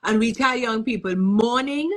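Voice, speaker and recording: A woman from Trinidad with a Trinidadian accent, a guest telling stories in an interview on a video call, animated and laughing often. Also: on the high side, moderate pace. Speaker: guest, business owner. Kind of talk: casual interview storytelling.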